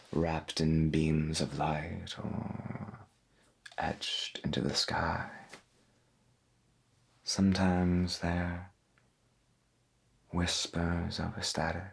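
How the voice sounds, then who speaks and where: Late-night 1990s radio host, played by a man: deep gravelly murmur, subdued, gentle and musing, ASMR roleplay. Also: little pitch movement, very slow, pauses often, full character voice. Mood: reflective, soothing. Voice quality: husky, gravelly. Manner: hesitant, folksy, murmured, musing.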